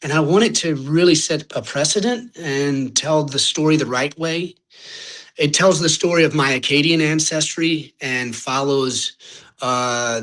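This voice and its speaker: A man's voice: mid-range voice, fairly bright tone. A young man from Louisiana with a Louisiana accent, a podcast guest chatting casually, rambling a little and searching for words as he talks. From Louisiana, United States. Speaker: podcast guest, singer-songwriter. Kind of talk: casual interview chat.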